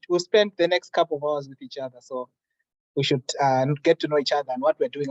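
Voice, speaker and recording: A young man from Malawi opens a classroom workshop in a relaxed, chatty way. His voice sits fairly high for a man. The recording is dry and a little muffled. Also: no echo. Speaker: workshop facilitator. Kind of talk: friendly classroom introduction.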